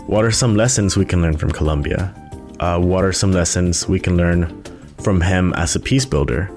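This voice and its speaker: A man's voice: low-pitched, moderate pace, a little mellow, soft background music. A young man from Nicaragua hosting a podcast, introducing it and putting questions to his guest. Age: young adult. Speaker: podcast host and interviewer.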